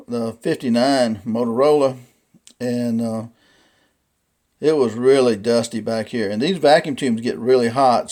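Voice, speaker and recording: Man, medium pitch, folksy and halting, casual unscripted show-and-tell, rambling explanations. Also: a little mellow. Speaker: vintage radio collector presenting a radio.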